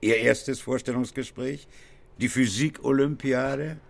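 An actor playing an older, pompous company boss in a radio play, praising in halting, repetitive business phrases. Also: male voice, medium pitch, steady tone, gravelly. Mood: patronizing, jovial.

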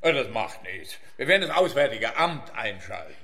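An actor playing a smug, bumbling police officer in a comic radio play, speaking in a theatrical, officious way. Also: male voice, lowish voice, big pitch swings, moderate pace, sharp tone, exaggerated voice. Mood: officious, triumphant. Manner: theatrical, officious, muddles his sayings.

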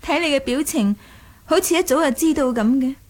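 Young princess, high voice, playful, commanding, poised, radio play. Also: female voice, animated, brisk, darkish tone. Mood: poised, teasing. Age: young adult. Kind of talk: Cantonese audio drama acting.

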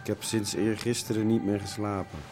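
An actor playing a weary, laid-back hippie traveller in a radio drama, talking in a hesitant way. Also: male voice, lowish voice, level tone, brisk, a little mellow, soft background music, close to an everyday voice. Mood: sheepish.

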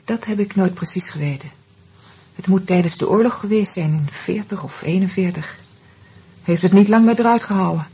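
An actress playing a composed, resigned middle-aged woman, speaking in a measured, subdued way in a Dutch radio drama. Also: mid-range voice, dark tone, slightly rough. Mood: sombre. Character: Vera, resigned, composed woman recalling old sorrows.

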